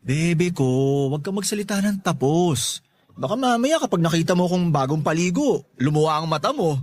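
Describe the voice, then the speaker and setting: Cheeky, swooping high-voiced young loafer played by a man. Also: very animated, normal speed, darkish tone. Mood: wheedling. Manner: teasing, wheedling, boastful. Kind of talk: Tagalog radio drama acting.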